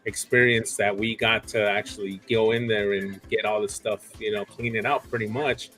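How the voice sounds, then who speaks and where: A man in his forties chats as a guest in a loose, easygoing way, his thoughts often coming out in starts and stops. His voice is on the low side, with soft music faintly behind him. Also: moderate pace. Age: 40s. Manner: relaxed, many fillers and restarts. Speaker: guest reseller. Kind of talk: casual chat.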